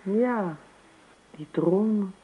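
Dreamy wife on lo-fi radio. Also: female voice, mid-range voice, very slow, husky. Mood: teasing. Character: dreamy, playful wife, unruffled and teasing. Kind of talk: Dutch radio play acting. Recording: dull, lo-fi radio play recording.

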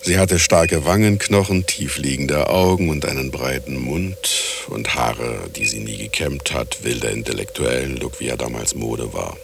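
An actor playing an aging, self-absorbed ex-husband who narrates a radio play in a wry, brooding, theatrical way with bitterness. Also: male voice, a bass voice, normal speed, few pauses, a little hiss or hum. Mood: sardonic. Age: older. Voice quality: gravelly, husky. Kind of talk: radio drama acting and narration.

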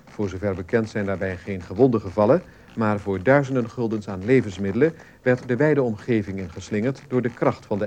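An actor playing a serious male radio newsreader, formal and measured, delivering a scripted bulletin. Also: fairly low pitch, darkish tone, slight background noise, close to an everyday voice.

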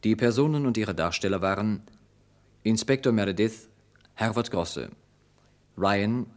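A man's voice: on the low side, unhurried. A man working as a radio announcer, formally reading the cast credits of a play.

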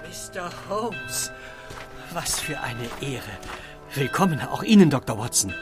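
An actor playing an effusive, fawning, slightly flustered reverend in a German radio play. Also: male voice, a little high, expressive, a little slow, music in the background. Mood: flustered delight. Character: gushing, excitable male reverend running a boys' school.

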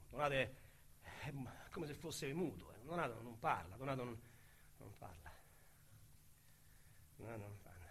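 A boastful small-time crook with a Roman accent in a radio drama. His voice sits high and bright, and his slangy talk lurches from loud bragging to hushed menace. A faint musical score runs underneath. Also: exaggerated voice. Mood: brooding. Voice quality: colorful slangy storyteller. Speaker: narrator-protagonist.

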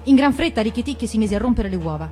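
A woman's voice: on the high side, animated, fast, warm tone. A woman narrating a storybook tale in a dramatized reading style. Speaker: actress reading a fairy tale.

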